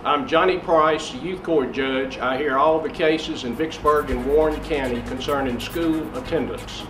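Grave judge, slightly high voice. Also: male voice, unhurried, reverberant, over music, rough recording. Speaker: youth court judge. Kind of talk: public service announcement. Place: standing with officers, in robe.

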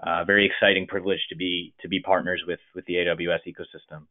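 A man's voice: low-pitched, level tone, fast. A man, a consultant, presenting a case study on a webinar in a hesitant way full of fillers. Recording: phone-line webinar audio.

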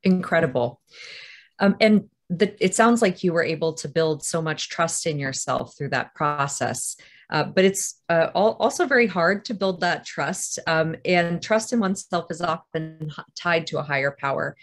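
A woman interviewer asking measured, thoughtful questions on a video call from an office. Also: low voice. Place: office with wooden furniture. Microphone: webcam on a video call.

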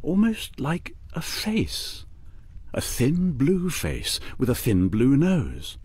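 An actor narrating a fantasy radio drama as an expressive storyteller. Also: male voice, medium pitch, slow pace, husky, no echo, exaggerated voice. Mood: vivid, descriptive.

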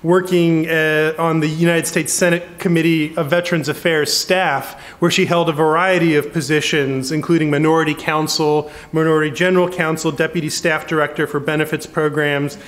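A middle-aged man at a lectern microphone in a conference hall, briskly introducing a keynote speaker. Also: a little high, level tone, a bit bright. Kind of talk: formal introduction.

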